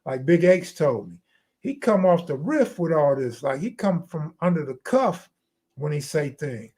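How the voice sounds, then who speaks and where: A man's voice: fairly high pitch, lively intonation, measured pace, darkish tone. An American man hosting a live stream, chatting casually in slangy, profane, streetwise talk.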